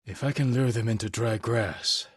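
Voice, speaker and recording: A man playing a stoic, determined samurai warrior in a video game, with a husky, hushed voice and a terse, grave, measured delivery. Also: medium pitch, no echo, clean studio sound, lightly acted. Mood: grave, focused. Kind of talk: dramatic video game voice acting.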